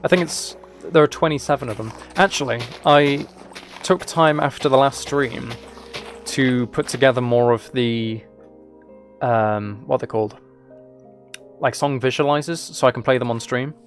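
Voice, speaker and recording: A man's voice: medium pitch, normal speed. Man livestreaming, music behind. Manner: rambling, thinking aloud. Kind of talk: casual chat while playing a game.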